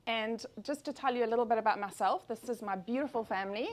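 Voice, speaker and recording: A woman's voice: a little high, quick pace. A woman in her forties with a South African accent, giving an upbeat presentation at a networking meeting and saying um often. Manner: upbeat, speaking with frequent ums. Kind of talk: business presentation.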